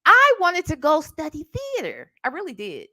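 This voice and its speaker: Casting: middle-aged American woman, livestream storyteller, higher-pitched voice, sassy and emphatic, conversational delivery. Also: moderate pace. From Ohio, United States. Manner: animated, emphatic, sassy, conversational with tag questions. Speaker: livestream host. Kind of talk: casual storytime chat.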